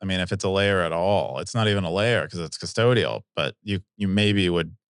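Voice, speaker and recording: A man's voice: deep voice, brisk, smooth, dry recording, studio quality. A man co-hosting a casual podcast, explaining technical points loosely, with many likes and restarts. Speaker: podcast co-host. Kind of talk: casual chat. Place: cold room by a window.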